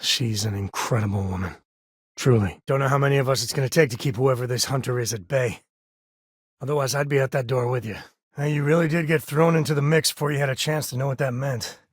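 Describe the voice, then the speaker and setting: A man's voice: mid-range voice, quick pace, husky, dry recording, natural voice. A man acting a folksy, wry space-frontier ranger, dry studio sound. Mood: sincere. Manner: folksy, laid-back drawl with dry wit. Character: rugged, wry, warm-hearted frontier ranger and devoted single father. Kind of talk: video game voice acting, companion dialogue.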